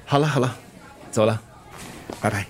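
A man's voice: mid-range voice, normal speed, close to an everyday voice. An actor playing a breezy, caring middle-aged father and musician in a radio drama, flippant, with a Singaporean accent. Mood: hurried, affectionate. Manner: flippant, bantering. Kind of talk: Mandarin radio drama acting.

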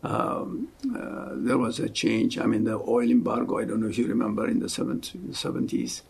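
A man in his seventies from Ethiopia, with an Ethiopian accent, giving an earnest, fervent faith testimony as an interview guest and often dropping to a soft hush. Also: on the low side, level tone, normal speed, vocal fry, breathy edge. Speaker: guest, missionary.

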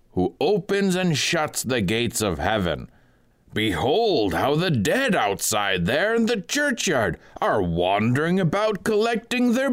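A shrill piercing doomsday preacher. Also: male voice, a bit bright, heavily acted. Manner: high piercing preaching cry, slow and drawn out. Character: master thief posing as shrieking saint.

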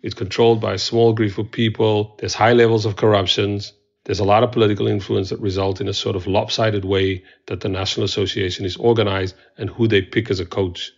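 Gravelly low-voiced man, dull recording. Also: flat delivery, brisk. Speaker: expert commentator. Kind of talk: conversational interview analysis. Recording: dull, lo-fi interview audio.

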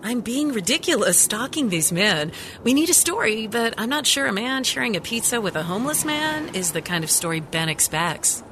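A woman playing an eager news reporter in a scripted radio drama, excited about her story. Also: fairly high pitch, normal speed, few pauses, a little hiss or hum. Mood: chatty, curious.

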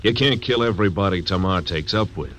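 An actor playing a laconic, stubborn frontier marshal who narrates, in 1940s radio acting. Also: male voice, sits low, fairly quick, a bit bright, a little hiss or hum. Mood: steady. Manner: terse, dry. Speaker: narrator and lead. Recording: old radio recording with music.

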